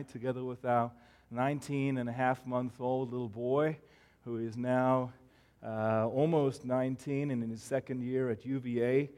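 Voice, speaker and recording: A South African man in his forties delivers a church sermon. His accent blends South African and American sounds. He talks earnestly from the pulpit, pausing on "uh" now and then, at a middling pitch for a man. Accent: South African mixed with American. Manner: warm, earnest, self-deprecating pulpit delivery with frequent fillers. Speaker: guest preacher.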